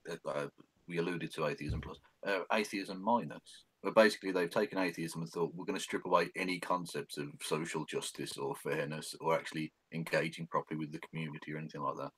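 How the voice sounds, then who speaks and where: A man's voice: very deep voice, steady tone, fast talker, a bit bright, husky, no echo, rough recording. A man co-hosting a casual discussion, giving opinions in a blunt, sardonic way. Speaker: occasional co-host.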